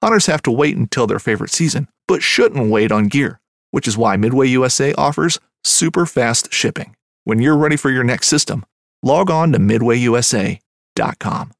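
A man's voice: mid-range voice, lively intonation, normal speed, vocal fry, no echo, clean studio sound. A man reading a scripted ad as an announcer, with a polished delivery. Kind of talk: scripted ad read.

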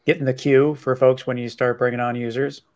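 A man's voice: medium pitch, flat delivery, brisk, a little mellow. A man co-hosting a podcast, asking questions casually with many "like"s and "you know"s. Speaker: podcast co-host.

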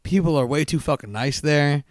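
A man co-hosting a casual podcast, hoarse-voiced, telling stories animatedly and swearing freely. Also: a little high, normal speed, no echo, studio quality. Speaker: podcast co-host. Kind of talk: casual chat.

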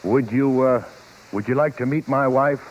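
An actor playing a proud, hot-headed but sentimental vaudeville performer and husband in a 1950s radio drama. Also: male voice, medium pitch, moderate pace, lightly acted. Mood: tender, stagey. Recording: muffled 1950s radio broadcast with music.

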